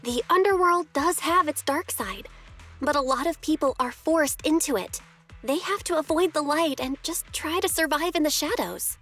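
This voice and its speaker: A woman's voice: sits high, animated, normal speed, fairly bright tone, faint music behind. A woman voicing an earnest, outspoken young female envoy in a video game, with a frank manner. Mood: challenging, indignant. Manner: frank, challenging. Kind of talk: scripted video game voice acting.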